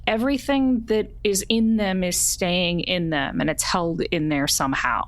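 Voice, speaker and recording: A woman playing a tabletop roleplaying game, speaking in character in a halting, improvised way as she searches for words. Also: on the low side.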